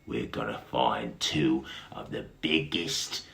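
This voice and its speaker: A man's voice: rumbling low, takes it slowly, creaky, sharp tone, heavily acted. A man voicing a bossy, snappish male beetle bully in a storybook reading. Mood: bossy scheming. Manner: domineering, snappish.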